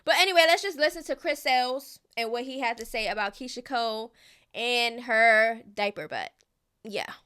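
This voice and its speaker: High, bright-voiced woman in her thirties chatting. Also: lively intonation, moderate pace, smooth. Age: 30s. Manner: chatty, keeps checking in with "okay?", slangy. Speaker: gossip commentary host. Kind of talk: casual commentary to viewers.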